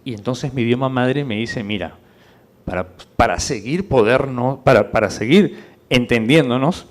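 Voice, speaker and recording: A man's voice: medium pitch, darkish tone. Man giving an emphatic public lecture. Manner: didactic, rhetorical, checks with listeners through questions. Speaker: conference speaker.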